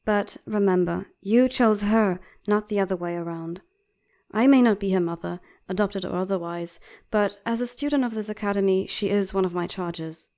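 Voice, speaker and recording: A woman's voice: mid-range voice, normal speed, darkish tone, close to an everyday voice. A woman playing an outspoken, protective bird doctor at an academy in a comic fandub, articulate, insistent and reproachful. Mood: concerned reproach. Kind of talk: dramatic comic fandub acting.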